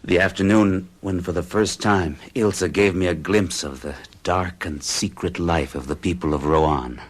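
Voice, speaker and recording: A man's voice: low voice, normal speed, slightly rough. An actor playing a troubled young man recounting an unsettling romance to his psychiatrist in an earnest, hesitant way. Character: troubled young American man, hero in love and afraid. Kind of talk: 1970s radio drama acting.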